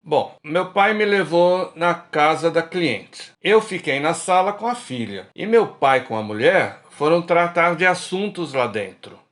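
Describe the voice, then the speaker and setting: A man plays a middle-aged Brazilian drinker out with old friends. His voice is somewhat high for a man and moves up and down a lot. He is frank and colloquial, in radio drama style. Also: expressive, a little slow, few pauses, fairly bright tone. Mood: regretful. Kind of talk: radio drama acting, casual bar chat.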